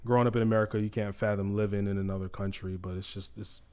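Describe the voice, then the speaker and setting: A man in his twenties from upstate New York, answering interview questions in a calm, low-key way. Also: fast talker, smooth. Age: 20s. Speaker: guest.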